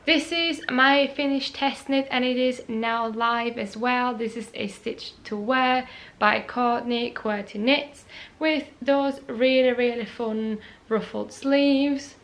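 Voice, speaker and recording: Slow-talking Austrian woman, recovering from a cold. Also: on the high side, steady tone, a bit bright. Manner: chatty, unhurried, with many ums and repeated words. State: recovering from a cold, voice not fully healed. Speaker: knitting podcast host. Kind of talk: casual chat to camera. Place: home room by a sunny window.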